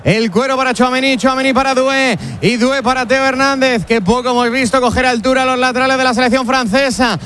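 Bright high-voiced football commentator. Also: male voice, fairly even intonation, slight background noise. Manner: fast, bright play-by-play calls with jokes. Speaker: play-by-play commentator.

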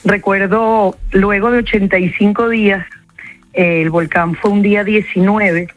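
A woman's voice: mid-range voice, fairly even intonation, measured pace, faint music behind, poor audio. A woman with a Venezuelan accent, a psychologist answering interview questions in a reflective way and repeating key words for emphasis.

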